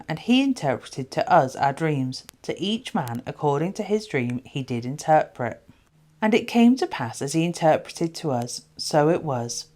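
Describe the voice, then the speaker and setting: Woman reading as animated chief butler of Pharaoh. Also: deep voice. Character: Pharaoh's chief butler, eager male royal servant recounting events.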